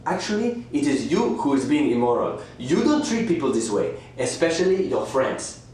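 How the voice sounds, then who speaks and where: A man playing a smug, manipulative houseguest in a radio thriller, measured, falsely reasonable and condescending. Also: medium pitch, sing-song, a little mellow, natural voice. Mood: aggrieved, accusing. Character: smug, manipulative male houseguest who refuses to leave. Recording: roomy, distant, faint background noise.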